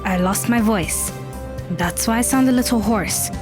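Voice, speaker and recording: A woman playing a curt, irritable, haughty teenage girl who sounds hoarse, in an audio drama. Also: fairly high pitch, measured pace, over music, natural voice. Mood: irritated.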